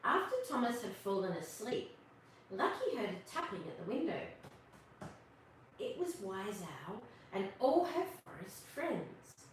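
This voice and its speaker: A woman reading a picture book aloud to children as host, with expressive narration and asides to young listeners. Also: medium pitch, unhurried. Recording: distant, very echoey room, faint noise.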